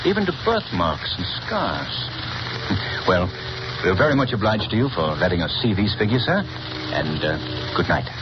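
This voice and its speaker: A suave gentleman sleuth. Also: deep voice, very animated, normal speed, lo-fi sound. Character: cool, confident gentleman detective. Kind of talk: 1930s radio drama acting. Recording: scratchy 1930s radio broadcast recording.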